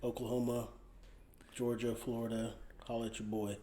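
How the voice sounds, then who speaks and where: A man's voice: medium pitch, flat delivery, moderate pace. A man co-hosting a casual podcast, easygoing and jokey. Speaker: podcast co-host. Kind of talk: casual chat. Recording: echoey room with faint noise.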